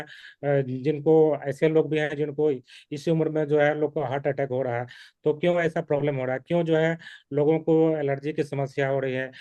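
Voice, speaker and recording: A man's voice: a little high, darkish tone, no echo. A man who sells health products, answering a customer's objections hesitantly and with many fillers. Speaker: network marketing distributor.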